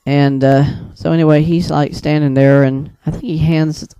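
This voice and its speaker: A man with a mellow, smooth voice, pitched a little high, talks casually without a script. He rambles through his storytelling, hesitating and restarting as he goes. The sound is close and dry. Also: little pitch movement, dry recording, muffled recording. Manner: rambling, hesitant, halting mid-thought. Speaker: video creator and storyteller. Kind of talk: casual unscripted monologue recounting a dream.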